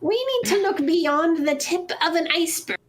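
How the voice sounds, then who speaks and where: A woman voicing a stern, guarded secret boss who gives dramatic, deliberate orders in a fan story. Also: extremely high pitch, fairly even intonation, crowd noise. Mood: urgent, amused.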